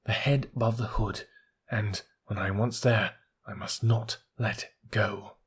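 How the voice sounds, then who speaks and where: A man voicing a small, brave male mongoose with a husky voice, talking sharply and urgently. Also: on the low side, steady tone, a little slow. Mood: grim resolve.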